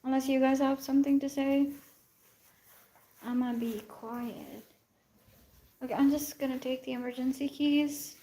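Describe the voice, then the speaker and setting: A woman's voice: high-pitched, steady tone, moderate pace, pauses often, warm tone. A young woman, a native Urdu speaker, chatting casually and laughing at herself on a live stream from her phone while she walks outside at night. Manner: chatty, laughing, self-teasing, switches into Hindi and Punjabi. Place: outdoors at night, walking around her building.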